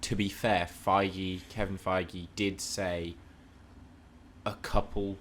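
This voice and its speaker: A man's voice: low-pitched, flat delivery, slow, a little hiss or hum. A man co-hosting a podcast, discussing film and TV casually in an assertive, opinionated way. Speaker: podcast co-host.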